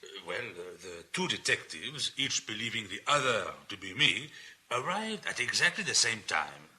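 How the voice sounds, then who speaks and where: An actor playing an urbane, wry reformed thief, a husky man with a genial way of telling things, in a radio drama. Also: fairly low pitch, big pitch swings, moderate pace, bright, forceful tone, exaggerated voice. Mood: amused.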